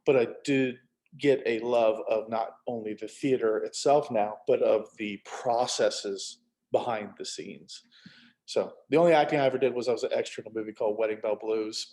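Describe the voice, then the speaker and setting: A middle-aged man chatting casually as a guest, halting and rambling, with wry self-deprecation. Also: medium pitch, darkish tone. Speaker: guest, author.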